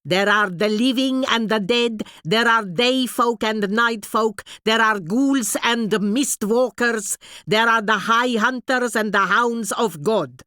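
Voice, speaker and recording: An actress playing a stern, severe tutor in an audiobook drama, lecturing in a clipped, deliberate way. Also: female voice, fairly high pitch, pushed and bright, no room sound, studio quality. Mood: instructive. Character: stern, severe female tutor scolding a pupil.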